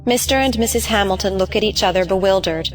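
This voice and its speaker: A woman's voice: medium pitch, quick pace, soft background music, poor audio. A woman giving scripted narration, reading out the stage directions of a play.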